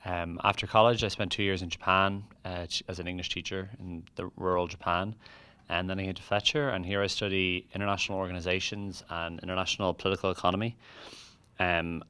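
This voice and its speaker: A man's voice: low voice, level tone, a little fast, sharp tone, clean tone, dry recording. A man in his twenties with an Irish accent, introducing himself informally as a graduate student panelist. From Ireland. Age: 20s.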